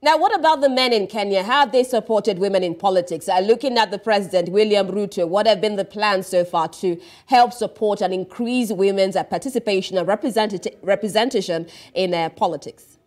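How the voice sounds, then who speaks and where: A woman's voice: mid-range voice, brisk, hardly any pauses, a little mellow. A woman news anchor in a TV studio, asking formal, probing interview questions. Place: television studio.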